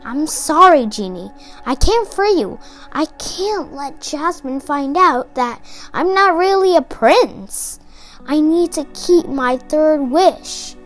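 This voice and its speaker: A woman's voice: sits high, lively intonation, takes it slowly, soft background music. A woman voicing an earnest, apologetic young male storybook hero in a plain, regretful way.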